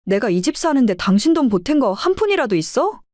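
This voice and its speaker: A woman's voice: a little high, big pitch swings, a little fast, a little mellow, no echo, studio quality. A woman playing a fed-up, scornful middle-aged working wife in an audio drama, confrontational and scolding in manner. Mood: angry, scornful.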